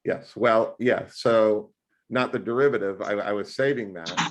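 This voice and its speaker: A man with a fairly low voice tutors math one-on-one over a video call. He talks loudly and with plenty of animation, and he keeps slipping jokes into the lesson. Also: unhurried, low-quality recording. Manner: loud, animated and jokey.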